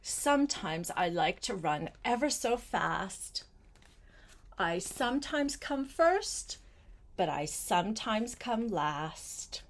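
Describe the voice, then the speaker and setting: An older woman reading a picture book aloud to a small child, gentle and coaxing, with chatty asides spoken straight to the child. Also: medium pitch, sing-song. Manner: gentle, unhurried, coaxing, addresses the child directly.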